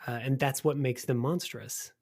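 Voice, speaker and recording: A man's voice: medium pitch, fairly even intonation, normal speed, darkish tone, slightly rough. A man chatting casually as a podcast host, thoughtful and rephrasing as he reasons aloud.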